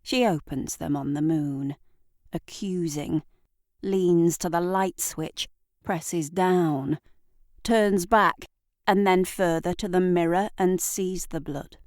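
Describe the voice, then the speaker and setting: A woman's voice: lowish voice, steady tone, a little mellow, no echo. Woman narrating drama, dry close sound. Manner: deliberate, dramatic storytelling. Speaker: writer and narrator of an audio drama. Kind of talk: scripted literary narration.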